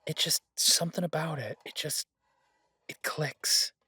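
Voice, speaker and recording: A man playing a devoted, easygoing husband who cooks, talking in a casual, halting, reassuring way in an intimate audio roleplay. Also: fairly high pitch, pushed and bright, slightly rough, no echo, in a whisper, exaggerated voice. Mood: hopeful, tender. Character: devoted, easygoing husband and cook, gently teasing. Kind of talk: intimate one-sided audio roleplay, conversational.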